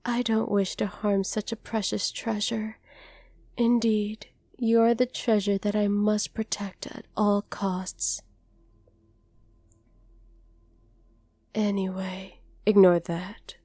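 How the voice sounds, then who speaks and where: A woman voicing a haughty, possessive dragoness captor in an ASMR roleplay, speaking to the listener in a condescending, deliberate way. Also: medium pitch, little pitch movement, warm tone, no echo. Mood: soft, possessive.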